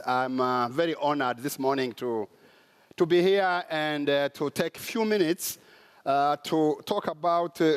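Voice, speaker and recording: A man from Rwanda with a Rwandan accent, giving a conference talk in a sermon style with frequent pauses and fillers. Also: fairly high pitch, clean tone. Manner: halting, with many "uh" pauses. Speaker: conference speaker. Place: large conference hall.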